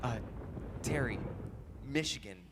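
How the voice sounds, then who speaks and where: A man's voice: medium pitch, expressive, slow pace, music in the background. A man playing a hapless, earnest apprentice ghost hunter in a live comedy sketch, sounding eager and sheepish. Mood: eager reciting. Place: recreation center hall, live stage performance.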